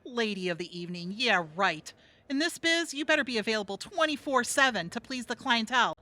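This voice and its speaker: Jaded streetwise woman, sarcastic, brassy, bright forceful tone, very dry close sound, audio theatre comedy. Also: a little high, animated, brisk, no echo. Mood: wry, weary.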